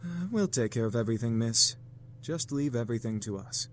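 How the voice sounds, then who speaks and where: A man's voice: medium pitch, expressive, a little fast, a little hiss or hum, close to an everyday voice. A man voicing a polite, responsible young man in a visual novel, courteous and composed. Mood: calm, reassuring. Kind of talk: visual novel game voice acting.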